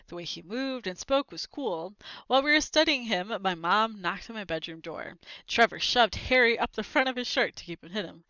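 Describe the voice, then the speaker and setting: A woman reading a children's chapter book aloud, expressive, with asides to her viewers. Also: medium pitch, clear, resonant tone, no echo, lo-fi sound. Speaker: read-aloud host.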